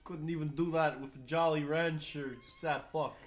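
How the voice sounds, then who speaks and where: A man voicing a smug, insulting cartoon cat with a deadpan, scornful manner. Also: on the high side, normal speed, faint music behind, lightly acted.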